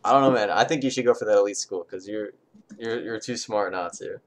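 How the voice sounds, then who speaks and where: A man's voice: lowish voice, fairly quick. A man from the United States hosting a live chat from home, talking in a rambling, friendly and encouraging way. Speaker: host.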